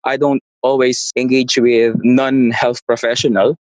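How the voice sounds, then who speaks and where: A man's voice: mid-range voice, level tone, a little slow, darkish tone, no room sound, poor audio. A man, answering interview questions in a casual way and often searching for words. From Philippines. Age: 30s. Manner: searches for words, frequent restarts. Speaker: guest, property investor.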